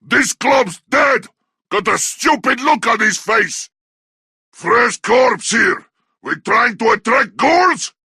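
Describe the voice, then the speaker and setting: Savage orc fighter for a game, super high strained yelling, harsh n sharp, snarly battle barks. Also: male voice, lively intonation, takes it slowly, dry recording, clean studio sound, full character voice. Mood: furious, savage. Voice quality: harsh, raspy growl. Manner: snarling battle barks in crude, broken grammar. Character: brutish, bloodthirsty orc warrior of Mordor, aggressive and taunting. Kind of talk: video game combat barks.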